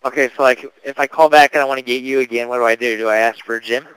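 A man's voice: mid-range voice, fairly even intonation, quick pace, fairly bright tone, smooth, dry recording. A young man making a casual prank phone call, asking slangy questions like a mock-earnest customer. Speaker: prank caller.

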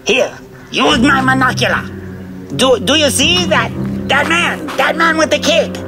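An arrogant Nazi expedition leader with a German accent for a radio drama. His voice is high and his delivery is slow and grandiose, full of exclamation. Music runs clearly behind him. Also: animated, exaggerated voice. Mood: urgent. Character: obsessed, arrogant Nazi zoologist leading an expedition.

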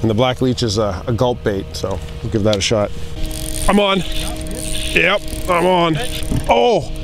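A man's voice: medium pitch, expressive, unhurried, music in the background. A man in his thirties hosting a fishing vlog with casual, playful, exclamatory commentary, recorded outdoors on a small boat.

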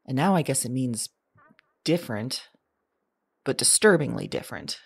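A woman's voice: a bass voice, animated, moderate pace, gravelly, husky, dry recording, full character voice. A woman playing an anxious, searching modern woman in an audio drama, with a hesitant, confessional delivery. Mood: hesitant, thoughtful. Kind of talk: audio drama monologue acting.

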